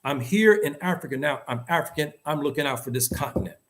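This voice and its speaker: A man's voice: on the high side, animated, quick pace, fairly bright tone. Insistent American man speaking his mind. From United States. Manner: emphatic, insistent, repeats phrases for stress. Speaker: host and commentator. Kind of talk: opinionated, unscripted talk.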